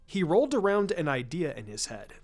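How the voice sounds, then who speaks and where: A man's voice: a little high, sing-song, fairly quick. A man narrating a scripted story as a reader of fan fiction. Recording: music under the voice. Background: background music.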